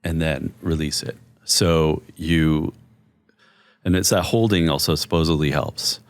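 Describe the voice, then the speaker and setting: Deep-voiced soothing male therapist. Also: steady tone, dry recording, clean studio sound. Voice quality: deep bass voice. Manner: soothing, measured, explanatory. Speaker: therapist guest. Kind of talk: conversational interview.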